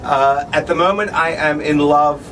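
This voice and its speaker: A man from Australia with an Australian accent, talking about his life in a casual street interview and often stopping to restart with fillers. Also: fairly high pitch. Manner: halting, many restarts and fillers. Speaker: interviewee, filmmaker. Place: outdoors at a street event. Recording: distant mic, loud street noise.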